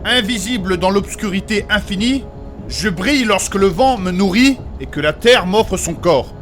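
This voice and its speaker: High-pitched, fussy scholarly adventurer, played by a man. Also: lively intonation, normal speed, fairly bright tone, faint music behind. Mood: solemn. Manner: formal, precious, old-fashioned diction. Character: Nathanaël, fussy, learned, courteous male fantasy adventurer. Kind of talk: comic fantasy audio drama acting.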